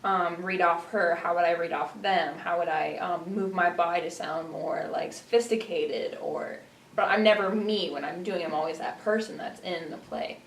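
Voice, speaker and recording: A woman's voice: lowish voice, fairly even intonation, moderate pace. A teenage girl, a student actor, giving casual answers in an interview. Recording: echoey room, distant mic, faint noise.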